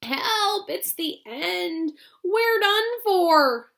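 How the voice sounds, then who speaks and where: A woman voicing an excitable, frightened old grandfather who shouts his lines slowly. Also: very high-pitched, vocal fry, pitched above the performer's own voice, full character voice. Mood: panicked. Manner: shouting, slowed, panicky. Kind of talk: storybook read-aloud.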